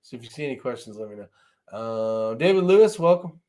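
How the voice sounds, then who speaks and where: A man's voice: medium pitch, animated, fairly quick, warm tone. A man hosting a casual live-stream chat, talking in an informal, loose and rambling way. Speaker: host of a shooting live chat show.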